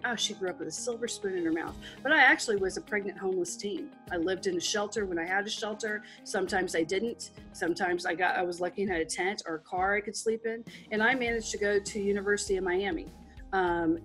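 A woman's voice: medium pitch, fairly bright tone. A woman in her forties, a business guest speaking frankly and at length over a video call on a headset. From Texas. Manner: frank and rambling, with restarts.

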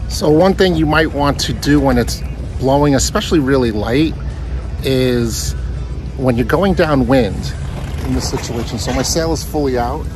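A man's voice: medium pitch, moderate pace, over music. A man in his forties, recorded outdoors on a small sailboat with a phone at arm's length, talking through how to sail the boat. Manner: friendly, explanatory, talks while sailing. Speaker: sailing instructor. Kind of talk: casual instructional vlog. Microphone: phone camera held at arm's length.

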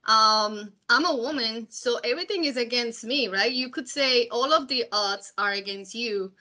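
Colombian-accented woman, bright and earnest. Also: a little high, moderate pace. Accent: Colombian Spanish. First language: Spanish. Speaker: panelist, quality executive. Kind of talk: panel discussion on a call.

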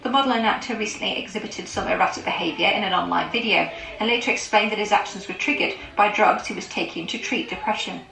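A woman reading scripted entertainment news in an even, measured way, played back from a clip. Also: medium pitch, gravelly, roomy sound, poor audio.

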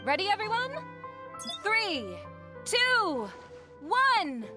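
A woman's voice: very high voice, sing-song, extremely slow, over loud music, full character voice. A woman playing an upbeat event announcer in a fantasy game, projecting cheerfully. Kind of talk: English game voice acting.

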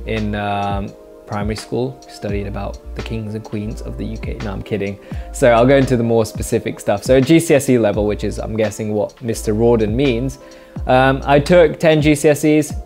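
Young guy from england doing a q&a vlog on his sofa, lots of ums, dry jokes, soft music behind. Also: mid-range voice. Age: 20s. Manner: rambling, chatty, many fillers, dry jokes. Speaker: YouTuber answering viewer questions. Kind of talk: casual Q&A monologue. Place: living room in front of a sofa, indoors. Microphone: mic off-camera, seated facing camera.

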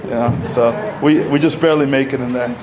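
A man's voice: mid-range voice, steady tone, brisk, muffled recording, crowd noise. A man in his thirties from Egypt, giving a conversational talk at a community center podium, full of "you know" and "like". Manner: conversational, many fillers, gestures while speaking. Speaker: panel speaker. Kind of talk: public talk at a community event. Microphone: podium mic.

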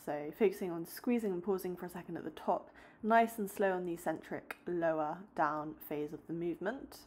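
A young woman in her twenties talks casually to her followers. Her voice sits a little low for a woman, upbeat and chatty when close to a phone, then steady and explanatory as she walks through her training. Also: a little fast, a little hiss or hum. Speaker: fitness vlogger. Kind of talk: casual vlog chat and workout voice-over. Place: indoors at home, close to a phone camera.

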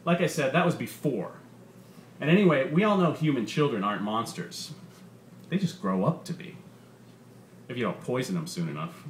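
Man playing robot comedian, sardonic, menacing, profane punchy stand-up delivery, mid-pitched, roomy sound. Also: lively intonation, moderate pace, pauses often, natural voice. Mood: sardonic, taunting. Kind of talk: stand-up comedy routine in a short story reading. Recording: roomy bar with faint background noise.

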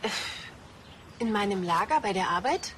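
An actress playing a defiant, defensive designer in a television crime comedy. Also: female voice, mid-range voice, expressive, normal speed, a little hiss or hum, lightly acted. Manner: curt, defensive. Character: defiant female designer under police suspicion.

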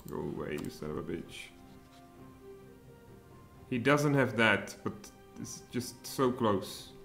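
A man in his thirties with a Czech accent, streaming a strategy game and thinking aloud, with sudden excited outbursts. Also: lowish voice, slow, gravelly. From Czech Republic. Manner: mutters thoughts aloud, then bursts out excitedly. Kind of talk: live strategy game commentary. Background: faint music and keyboard typing.

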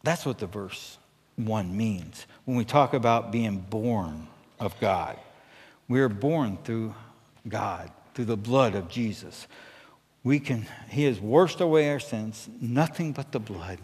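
A man's voice: fairly low pitch, darkish tone, slightly rough. A middle-aged American man preaching a conversational sermon as a pastor, earnest and emphatic, often checking in with his listeners. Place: church stage at a pulpit, indoors. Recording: echoey hall with long reverb.

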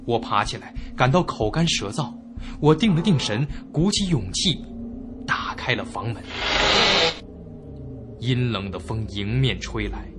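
A man performs a young male traveller recounting a horror story in a radio drama. His mid-pitched delivery is theatrical and widely varied, over loud background music. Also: close to an everyday voice.

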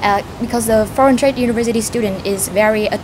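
A woman's voice: a little high, quick pace, music in the background. A young woman student speaks earnestly about her university, with many hesitations. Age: young adult. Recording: background noise with traffic.

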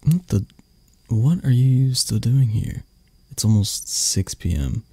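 Man acting a gravelly, grumpy CEO boss. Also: medium pitch, animated, slow, mellow, no room sound, exaggerated voice. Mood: exasperated, grudging concern. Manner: curt, sardonic, stammering when flustered. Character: arrogant, grumpy male CEO, secretly anxious and vulnerable. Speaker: voice actor. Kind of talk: ASMR roleplay audio drama.

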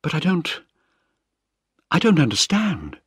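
A man voicing a distressed young woman in an audiobook, high and pleading, frightened yet defiant. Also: wildly swooping pitch, slow, slightly rough, no echo, studio quality, full character voice. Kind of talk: audiobook character voice.

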